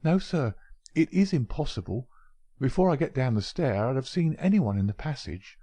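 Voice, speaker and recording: A respectful young housemaid who answers earnestly, as a man voices her. Her voice is lifted well above his own, husky and mellow, with a lively up-and-down swing. It sounds dry and close. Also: medium pitch, moderate pace, no room sound, voice pushed up. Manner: deferential.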